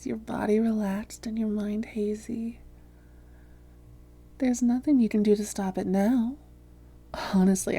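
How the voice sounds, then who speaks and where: A seductive female vampire, played by a woman, poses as a hypnotherapist in an ASMR roleplay. Her voice is very dark and breathy, with fry at the edges. She coaxes slowly and deliberately, often in a whisper, with smug control. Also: mid-range voice. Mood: gloating, teasing. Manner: slow, coaxing, deliberate.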